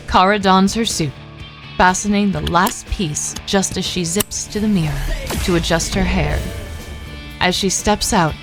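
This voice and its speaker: A woman narrating an audio drama in a scripted, dramatic storytelling style. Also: fairly low pitch.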